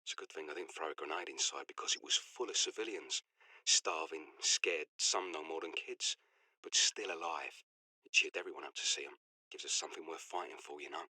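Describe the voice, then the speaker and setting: A man's voice: extremely low pitch, fairly quick, sharp tone, no room sound, full character voice. A man playing an earnest, weary soldier recording a game journal, plain-spoken and reflective. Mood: earnest, moved. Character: earnest, weary, warm-hearted male soldier. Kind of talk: dramatic personal journal.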